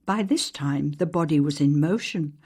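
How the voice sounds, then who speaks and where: A woman narrating an archaic newspaper account as a ghost story, in a measured, formal storytelling manner. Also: deep voice, mellow, husky. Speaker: actor reading a historical newspaper article as a ghost story.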